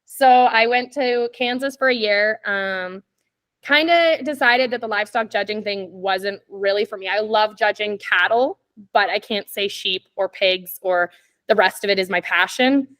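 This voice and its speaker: A woman's voice: mid-range voice, measured pace, a bit bright. Chatty young Canadian woman guest. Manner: chatty, laughs often, many "like" and "um" fillers. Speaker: podcast guest. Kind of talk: casual storytelling chat.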